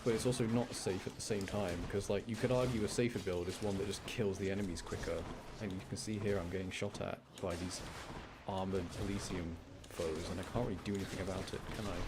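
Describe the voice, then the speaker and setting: A man giving casual gameplay commentary, lightly grumbling and self-deprecating as he explains what he is doing. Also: lowish voice. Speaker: gamer playing and commenting, channel host. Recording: noticeable background noise.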